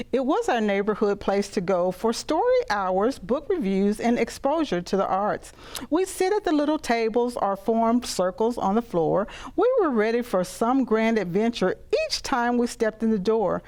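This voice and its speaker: A woman's voice: on the high side, lively intonation, normal speed, darkish tone. Older woman reciting fond memories. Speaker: local poet. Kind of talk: reminiscence and poetry recital.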